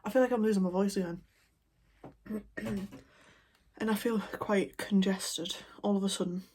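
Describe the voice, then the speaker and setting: A woman chatting casually as an art vlogger at home, talking in a relaxed, rambling way into her camera. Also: medium pitch, measured pace, husky. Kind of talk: casual vlog chat. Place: at home indoors. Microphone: camera mic.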